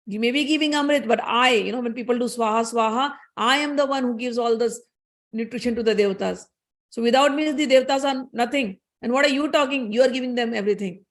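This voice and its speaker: An angry male fire god who scorns his rivals in sharp, heated outbursts, as a woman storyteller voices him. His voice sits high. It sounds like a mythological story told aloud. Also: fast talker, lightly acted. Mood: indignant.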